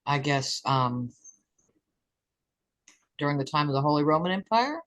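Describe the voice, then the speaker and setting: Slow, tentative man with pauses. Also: fairly high pitch, lively intonation, fairly bright tone. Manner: tentative, pausing. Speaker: study group participant. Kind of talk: informal discussion.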